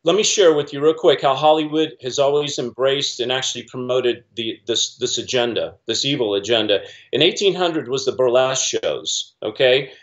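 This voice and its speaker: A man's voice: mid-range voice, normal speed, few pauses. Middle-aged man, earnest rambling talker, slightly muffled video-call sound. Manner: earnest, rambling with many fillers and restarts. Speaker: guest commentator. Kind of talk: casual video-call discussion. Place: small room at home, indoors.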